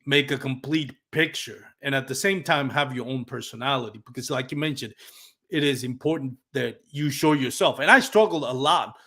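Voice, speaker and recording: A man's voice: mid-range voice, normal speed, pushed and bright. A man from Puerto Rico, a native Spanish speaker with a Puerto Rican accent, hosting a casual live podcast and talking reflectively, sometimes searching for words. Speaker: podcast host.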